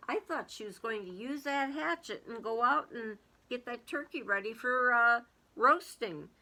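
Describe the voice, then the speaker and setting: A woman's voice: a little high, a little slow, bright, forceful tone. A woman reading a storybook aloud to young children, expressively, with casual musing commentary.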